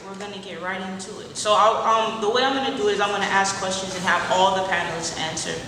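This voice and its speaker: Woman moderator in booming hall. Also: fairly even intonation, quick pace. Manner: organized, conversational, checks in with "right?". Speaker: panel moderator. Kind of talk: moderating a panel. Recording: echoey hall from far off with noise.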